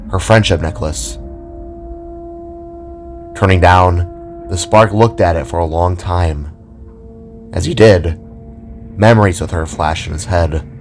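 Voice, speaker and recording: A man's voice: sits low, slight background noise. A man narrating a scripted audio drama as a dramatic storyteller.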